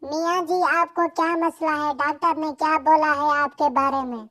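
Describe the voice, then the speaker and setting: A woman's voice: high-pitched, fairly even intonation, moderate pace, sharp tone. A woman playing a suspicious, insistent young wife and protective mother in a cartoon. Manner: questioning, insistent. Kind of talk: cartoon dialogue.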